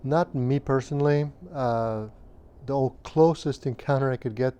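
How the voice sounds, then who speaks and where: A man in his fifties from Romania, recalling his childhood in an interview at a measured, hesitant pace with frequent pauses and fillers. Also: mid-range voice, warm tone, smooth, lo-fi sound. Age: 50s. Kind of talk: recounting childhood memories.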